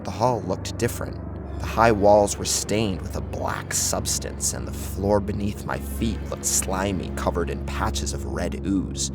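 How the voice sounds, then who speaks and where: A man's voice: low-pitched, unhurried. A man giving a dramatic, theatrical first-person narration of a scripted horror story. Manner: theatrical, acts out the tension of the story. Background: music under the narration.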